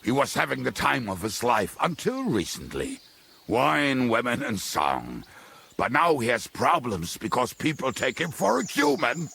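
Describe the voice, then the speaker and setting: Gravelly roguish mercenary, swooping intonation. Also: male voice, medium pitch, wildly swooping pitch, measured pace, bright, forceful tone, a little hiss or hum, full character voice. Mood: jovial, candid. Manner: blunt, colourful, wry. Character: roguish, foul-mouthed, jovial medieval mercenary storyteller. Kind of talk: dramatic video game dialogue.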